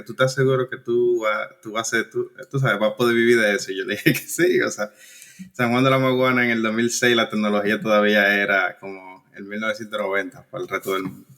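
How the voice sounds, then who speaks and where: Young Dominican guy, lowish voice, relaxed and halting interview guest. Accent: Dominican Spanish. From Dominican Republic. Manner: relaxed, halting, frequent restarts and fillers. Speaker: guest engineer. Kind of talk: casual conversational interview.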